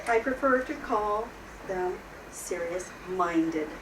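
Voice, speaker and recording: A woman reading a children's story aloud in an expressive storyteller's style, with theatrical pauses. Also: lowish voice. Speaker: storybook reader. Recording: roomy, distant mic, noisy background.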